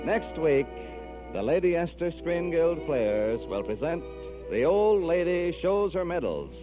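A man's voice: on the high side, big pitch swings, measured pace. A man hosting a radio show with formal, courteous, emphatic scripted announcing in the 1940s style. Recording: muffled vintage radio broadcast with music.

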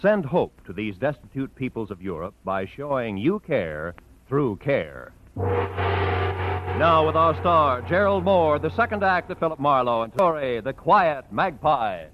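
Animated male vintage radio announcer. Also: medium pitch, unhurried. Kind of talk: 1940s-50s radio announcing. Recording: muffled old radio recording, faint music.

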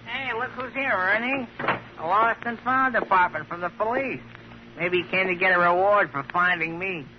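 A high, needling little dummy voice performed by a man, sly and gleeful, mocking whoever it talks to. It is old radio acting, muffled and slightly hissy. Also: normal speed, lightly acted. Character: sly taunting ventriloquist's dummy, mocking wisecracker. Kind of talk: 1950s radio drama acting.

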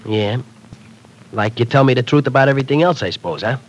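A man's voice: mid-range voice, lively intonation, fast talker, slight background noise, natural voice. An actor playing a slangy, pushy, streetwise young man in an old radio drama. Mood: wheedling. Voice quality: slangy tough-guy speech. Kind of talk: 1940s radio acting.

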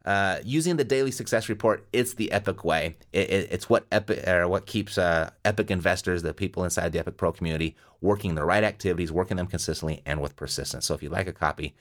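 Low-voiced motivational podcast host man. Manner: motivational, repeats phrases for emphasis. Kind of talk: energetic podcast monologue and interview.

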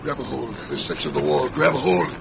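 An actor playing a scheming, gruff male outlaw boss who barks orders, in a 1940s radio drama. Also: medium pitch, wildly swooping pitch, fast talker, noisy background, full character voice. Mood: frantic. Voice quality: gravelly. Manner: barking orders.

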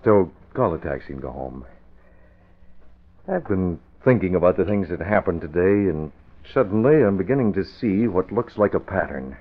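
An actor playing a serious, authoritative newspaper boss who reasons in measured phrases in an old-time radio drama. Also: male voice, sits low, expressive. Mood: thoughtful. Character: serious, deductive male newspaper boss and secret crimefighter. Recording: thin, narrow-band vintage radio recording.

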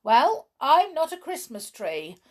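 Woman playing a high-pitched, blunt household cook. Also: extremely slow, exaggerated voice. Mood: indignant. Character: cheerful, blunt female household cook, quick to retort.

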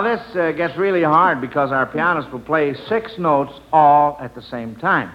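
A man hosting an old-time radio quiz for children, chuckling and patiently prompting the contestants. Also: medium pitch. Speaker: quiz show host. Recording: dull, noisy old radio recording.

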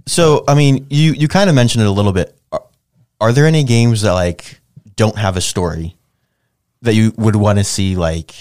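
Low-voiced man in casual podcast banter. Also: darkish tone, no echo, studio quality. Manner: rambling, halting, full of "like". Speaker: podcast co-host. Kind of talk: casual banter about video game movies.

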